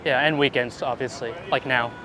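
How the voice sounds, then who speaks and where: A man chatting casually and informally as he is interviewed about street photography outdoors, animated and quick to laugh, swearing now and then. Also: medium pitch, crowd noise. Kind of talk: casual chat while walking outdoors. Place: outdoors on busy city streets. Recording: clear city street traffic noise.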